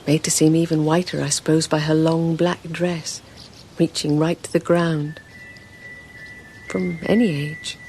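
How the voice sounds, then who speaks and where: An actress playing a haunted, fretful wife in a radio drama, in a hushed, halting, dreamy monologue. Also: female voice, low-pitched, little pitch movement, slight background noise. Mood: awed, dreamy. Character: haunted, fretful wife recounting an eerie vision.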